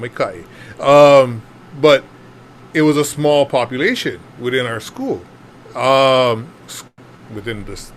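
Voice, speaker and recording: A man's voice: mid-range voice, a little hiss or hum. A man in his fifties from Hawaii with a Hawaiian Pidgin-tinged accent, telling his story to a group in a chatty, self-deprecating way with lots of fillers and laughs. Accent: Hawaiian Pidgin-tinged English. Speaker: panel speaker.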